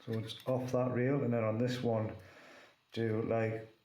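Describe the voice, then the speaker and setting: A man's voice: mid-range voice, level tone, unhurried, warm tone. A man with a chesty cold, casually demonstrating vape gear and rambling with lots of fillers. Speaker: vape reviewer. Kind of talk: casual hands-on product demo. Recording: echoey room with faint noise.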